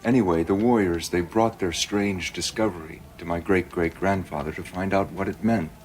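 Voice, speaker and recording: Male, low register, composed TV-drama colonel played by an actor, deliberate and solemn, noisy soundtrack. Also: steady tone, natural voice. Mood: solemn storytelling. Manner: measured, solemn storytelling with pauses. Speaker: military colonel. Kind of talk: television drama acting, storytelling.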